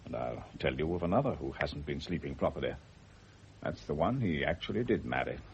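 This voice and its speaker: An actor playing a shrewd, dry, unflappable family doctor in a classic radio drama, measured and pointedly probing. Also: male voice, rumbling low, expressive, gravelly, heavily acted. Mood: dry, firm. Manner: measured, wry, pointedly philosophical. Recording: muffled, noisy old radio recording.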